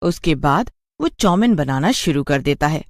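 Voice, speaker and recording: A narrator reading a scripted cartoon story in an expressive storytelling manner. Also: mid-range voice, moderate pace, no echo.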